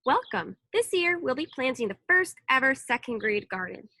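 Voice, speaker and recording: A woman's voice: high voice, big pitch swings, normal speed, pushed and bright, dry recording, heavily acted. A woman voicing a patient, encouraging second-grade teacher in a storybook, with a wry manner. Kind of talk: acted storybook dialogue.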